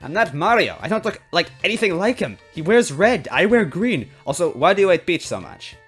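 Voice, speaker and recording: A man's voice: high-pitched, very animated, brisk, fairly bright tone, breathy edge, faint music behind, pitched above the performer's own voice, exaggerated voice. A man voicing a timid, flustered plumber who protests anxiously in a story reading. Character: timid, flustered male plumber mistaken for detective.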